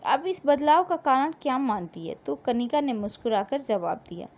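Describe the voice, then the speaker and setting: Female narrator, high voice with very lively intonation, dramatic scripted storytelling, emotive and measured with pauses.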